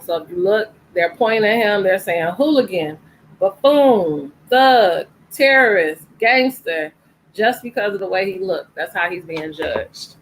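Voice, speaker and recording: A middle-aged woman chats through a picture book, cheering on her young reader and recapping each page. Her pitch sits mid-range for a woman. A faint hum of background noise stays under her voice. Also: animated, unhurried, rough recording. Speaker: story time host. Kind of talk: casual read-aloud with commentary.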